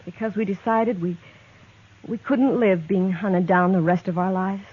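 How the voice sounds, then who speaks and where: An American actress playing an earnest, quietly determined young frontier woman in a 1950s Western radio drama. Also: medium pitch, steady tone, a little slow, breathy edge. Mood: guarded, earnest. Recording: tinny, narrow-band 1950s radio recording.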